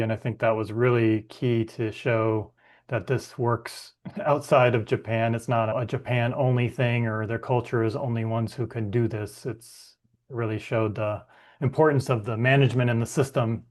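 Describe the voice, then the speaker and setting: A man's voice: medium pitch, steady tone, normal speed, darkish tone. Man hosting a podcast interview. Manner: affirming, asks open questions. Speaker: podcast host.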